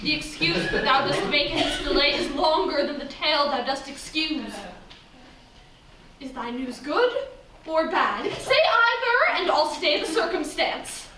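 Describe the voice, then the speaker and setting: Young stage heroine female actor: eager, pleading, impatient, high voice, distant and reverberant. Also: expressive, measured pace, crowd noise. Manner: pleading, emphatic, classical phrasing. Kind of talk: Shakespearean stage acting. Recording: very echoey hall, distant mic, noisy.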